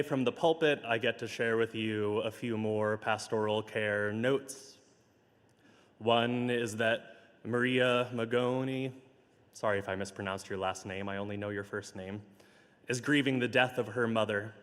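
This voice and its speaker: A man with a slightly hoarse, lowish voice leads his congregation in gentle prayer. He takes his time, pausing between phrases. The sound carries the long reverb of a large church hall, heard over a livestream. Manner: slow, measured and gentle. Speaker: minister. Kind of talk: pastoral notes and spoken prayer.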